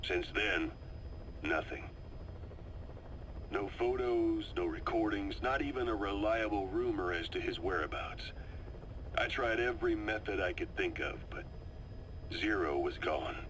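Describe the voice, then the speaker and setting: Shrewd veteran spy, deep voice, forceful edge, coolly composed, deliberate dramatic pauses, video game briefing. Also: male voice, poor audio. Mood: sober, confiding. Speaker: intelligence operative briefing an old comrade. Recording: faint noise, cassette tape briefing.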